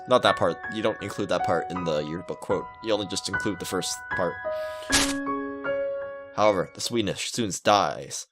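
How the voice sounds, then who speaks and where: A man reading a visual novel aloud as a let's player, adding playful joking asides. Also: deep voice. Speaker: video game let's player. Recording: music under the voice. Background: game music.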